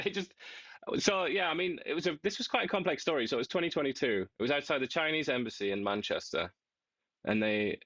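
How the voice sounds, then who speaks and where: A man's voice: mid-range voice, a bit bright, dry recording. Wry man, dry dull sound. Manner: laughing, wry, rambling explainer. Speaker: co-host. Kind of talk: casual political talk show banter.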